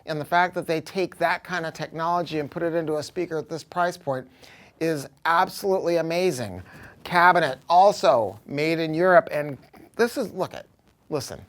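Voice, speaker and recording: An American man giving an informal, off-the-cuff product pitch as a dealer, talking in an emphatic, slangy, salty way. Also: normal speed. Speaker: audio dealer presenting a product. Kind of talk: informal product review, off-the-cuff sales talk.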